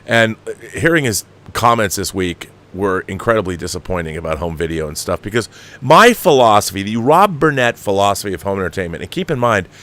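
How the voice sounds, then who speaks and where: Emphatic American man, lowish voice. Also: sing-song, a bit bright. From United States. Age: 50s. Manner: exasperated, emphatic, halting repeats for emphasis. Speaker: host of a film commentary show. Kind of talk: opinionated film commentary, reading viewer questions.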